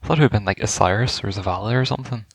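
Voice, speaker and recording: Male gaming commentator, casual live reactions, fairly low pitch, creaky voice. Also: rapid-fire, no room sound. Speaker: gaming video creator.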